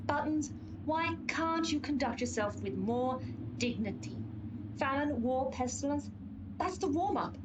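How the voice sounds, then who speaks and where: A haughty horse of Pestilence, acted by a woman, speaks in a fairly high voice over a noisy bed of sound. The delivery is pompous and exasperated, like a put-upon lecturer in a radio comedy. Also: lively intonation, a little slow, highs cut off. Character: haughty, long-suffering female horse of Pestilence, bossy explainer. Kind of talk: comic radio play acting.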